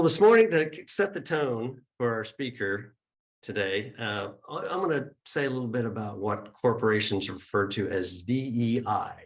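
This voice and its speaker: A man giving chatty, informal opening remarks at a church service, speaking to a hall audience and online viewers on a mic that sometimes drops out. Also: on the low side. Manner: chatty and informal, with ums and uhs.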